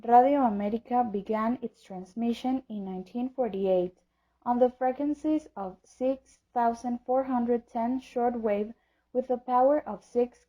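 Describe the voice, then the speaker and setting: High-pitched woman narrator, slightly muffled audio. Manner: formal, reading from a script. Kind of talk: scripted historical narration. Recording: dull lo-fi audio.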